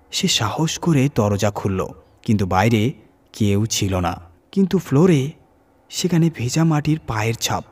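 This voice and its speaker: A man reading a scripted horror story dramatically, with suspenseful pauses and emphasis. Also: lowish voice, breathy edge. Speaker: horror story reader and channel host.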